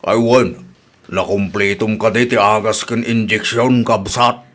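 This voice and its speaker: A man's voice: fairly low pitch, fairly even intonation, unhurried, fairly bright tone. A man playing an exasperated village father in an Ilocano comedy radio drama, plain-spoken and reproachful, with an Ilocano accent. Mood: resigned. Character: exasperated village father scolding his grown daughter.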